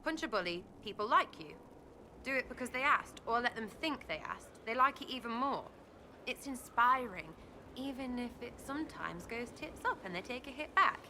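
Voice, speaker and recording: An actress playing a brash, irreverent young streetwise rebel in a video game, with a British accent and blunt, cheeky, choppy phrasing. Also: female voice, a little high, animated, moderate pace, sharp tone. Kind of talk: video game dialogue acting. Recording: clearly noisy ambient background.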